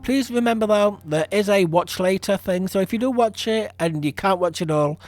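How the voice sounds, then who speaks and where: High-voiced man chatting casually. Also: moderate pace, a little mellow, dry recording, faint music behind. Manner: rambling, self-deprecating. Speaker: podcast host and audio drama creator. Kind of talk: casual chat.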